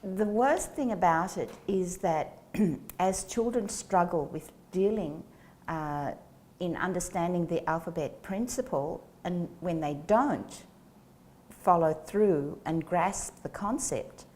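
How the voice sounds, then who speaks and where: A woman in her sixties, a guest in an interview, explaining things in a slow, measured way on a lapel mic in a small studio. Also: lowish voice, darkish tone. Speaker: guest, educator. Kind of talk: interview answers. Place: small studio set with plants.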